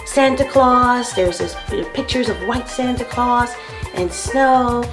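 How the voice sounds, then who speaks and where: A woman from the Caribbean talking casually as a guest author on a children's show, animated and playful. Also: a little high, a little slow, echoey room. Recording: music playing behind the voice. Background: background instrumental music.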